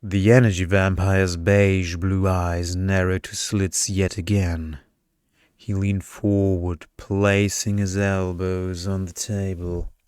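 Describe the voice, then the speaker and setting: A man's voice: low voice, little pitch movement, takes it slowly, darkish tone, smooth, dry recording, clean studio sound. A man reading a story as scripted ASMR narration.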